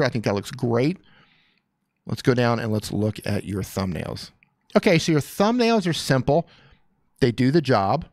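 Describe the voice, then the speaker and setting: A man's voice: medium pitch, dry recording, studio quality. A man hosting a live stream, giving casual, upbeat coaching advice on channels and reading viewer comments aloud. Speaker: YouTube coach reviewing channels.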